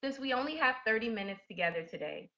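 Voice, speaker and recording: Female webinar host, forceful bright tone, formal scripted read, muffled lo-fi audio. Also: on the high side, expressive, fast. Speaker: webinar host introducing a coach. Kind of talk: scripted introduction, read announcement. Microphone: online webinar audio. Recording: dull lo-fi web conference audio.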